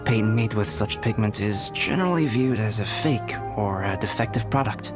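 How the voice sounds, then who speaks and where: A male actor playing a calm, reserved young alchemist in a video game, speaking in a measured, formal, analytical way. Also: on the low side, close to an everyday voice. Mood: calm, polite. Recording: background music under the voice.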